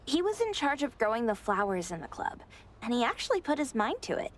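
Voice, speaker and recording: A woman voicing a kindly, candid high school girl in an anime game, explaining things plainly. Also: big pitch swings, a little fast, slight background noise.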